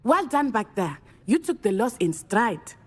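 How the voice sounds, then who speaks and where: A woman's voice: a little high, very animated, measured pace, husky, full character voice. A woman voicing a friendly, confident teenage witch in a video game, composed and encouraging. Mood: friendly, reassuring. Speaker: student character.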